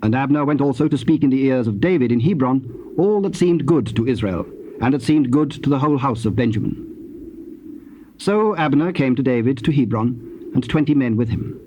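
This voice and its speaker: Casting: man as formal scripture storyteller, average-pitched voice, measured reading, slightly noisy tape transfer. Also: animated, dark tone. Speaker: narrator.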